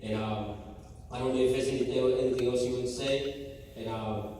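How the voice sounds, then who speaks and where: A man from Guatemala with a Guatemalan Spanish accent, a native Spanish speaker, giving a halting church testimony full of fillers and restarts. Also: mid-range voice, steady tone, dark tone, slight background noise. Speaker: mission team member giving a church report. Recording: echoey church hall from far off.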